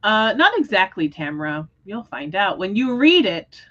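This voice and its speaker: A woman hosting a casual book discussion livestream, talking in an animated, expressive way. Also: mid-range voice, unhurried, lo-fi sound. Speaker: host of book readalong livestream.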